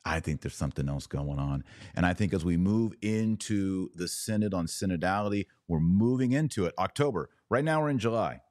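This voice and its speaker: A man's voice: low voice, a little fast, a little mellow, no echo, studio quality. A man hosting a podcast with conversational opinion commentary, talking emphatically with repeated words, rhetorical questions and a wry, sarcastic edge. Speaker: podcast host.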